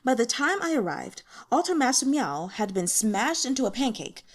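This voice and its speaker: A narrator plays a scheming woman of a fantasy sect, all feigned innocence. Her high voice is theatrical and swoops about as she excuses herself. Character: scheming, falsely innocent female sect protector, dramatic.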